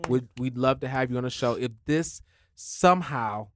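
A man co-hosting a podcast in a studio, chatting casually, joking and laughing often in quick banter. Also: medium pitch, heavy background noise. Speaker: podcast co-host. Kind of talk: casual chat.

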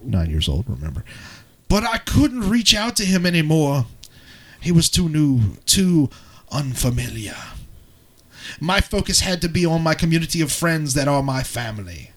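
A man voicing a grieving single mother in a theatrical, solemn English accent, with drawn-out pauses, as a dramatic reading. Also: fairly high pitch, sing-song. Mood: anguished. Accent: English, put on.

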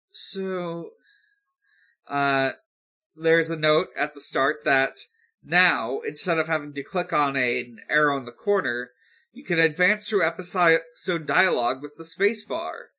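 Man, slightly high voice, slow pace, hesitant, casual podcast co-host, explanatory recap. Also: sharp tone. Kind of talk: casual episode recap with co-hosts.

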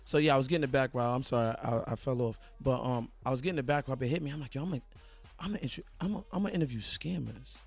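A man's voice: mid-range voice, racing, vocal fry. A young man from Brooklyn with a New York accent, a podcast host guesting on a show and talking in loose, profane slang with many restarts. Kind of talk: casual podcast conversation.

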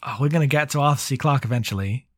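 A man's voice: mid-range voice, quick pace, no echo, studio quality. A man co-hosting a podcast in a casual book discussion, opinionated and sweary, rambling along with lots of "like". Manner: rambling, heavy use of "like". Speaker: podcast co-host.

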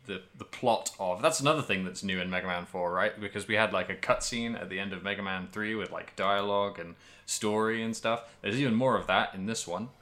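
Bright-voiced man chatting casually, lowish pitch. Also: a little fast, few pauses. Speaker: podcast co-host. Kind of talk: casual video game discussion.

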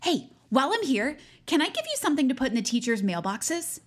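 A woman playing a pious, bossy high school girl in an audio drama, earnest and insistent. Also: very animated, a little fast. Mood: righteous, earnest. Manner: earnest, insistent, self-righteous. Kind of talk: naturalistic audio drama acting.

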